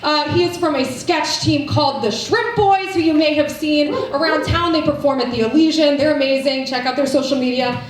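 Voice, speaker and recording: A woman's voice: sits high, brisk, few pauses. A woman hosting a comedy show, telling a joke in a dry, self-amused way before introducing the next act. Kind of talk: stand-up set and introductions. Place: small comedy venue with live audience. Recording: echoey room from far off, loud noise.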